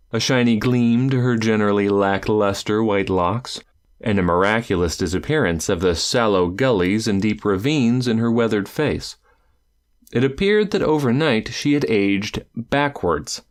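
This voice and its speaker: Guy with a lowish voice reading a fantasy audiobook, slow and dramatic, leans into the words. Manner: measured, expressive storytelling with dramatic pauses. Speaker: audiobook narrator. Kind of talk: scripted audiobook narration.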